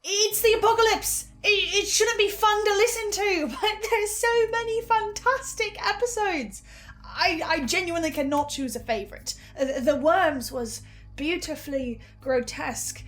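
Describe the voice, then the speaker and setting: A woman playing an anxious, sardonic researcher and broadcaster, speaking in a halting, expressive, naturalistic audio-drama manner. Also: extremely high pitch. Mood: animated delight. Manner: halting, expressive, self-interrupting. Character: Edwin, anxious, sardonic researcher and broadcaster bound to fearsome powers. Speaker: podcast-style host reviewing a horror series.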